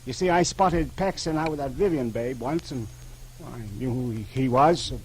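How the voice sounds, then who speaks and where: An actor playing a sly, cheeky small-time crook and informant, slangy and over-familiar, in an old radio drama. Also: male voice, mid-range voice, normal speed, natural voice. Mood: smug, casual. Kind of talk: 1940s-50s radio drama acting. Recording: old radio broadcast with faint engine noise.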